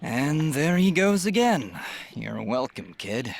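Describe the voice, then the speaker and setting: Earnest young game swordsman, mid voice, calm and wry, faint ambience. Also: medium pitch, slow, gravelly, slight background noise, heavily acted. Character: earnest young male swordsman hero, kind but wry. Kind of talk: video game voice acting.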